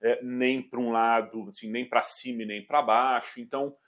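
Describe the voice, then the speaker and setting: A man in his forties, a finance executive from southern Brazil, answering interview questions in a measured way with frequent hesitations. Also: medium pitch, steady tone, fairly bright tone. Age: 40s. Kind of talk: business interview.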